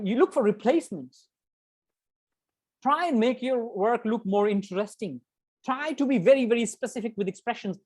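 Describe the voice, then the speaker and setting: A man's voice: sits high, darkish tone. A man giving an academic writing workshop, talking emphatically, repeating key words and adding conversational asides. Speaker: workshop lecturer. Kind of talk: interactive academic workshop lecture.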